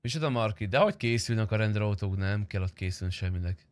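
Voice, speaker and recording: Low-pitched young man streaming. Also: no room sound. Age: young adult. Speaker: game streamer. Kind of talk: casual roleplay game stream chat.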